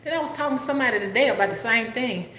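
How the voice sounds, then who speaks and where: A woman's voice: fairly high pitch, racing, pushed and bright, roomy sound, rough recording. A middle-aged woman from Alabama with a Southern accent, a rootworker chatting with viewers in a folksy, country way on a live stream. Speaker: rootworker and spiritual reader. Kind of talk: casual live-stream chat.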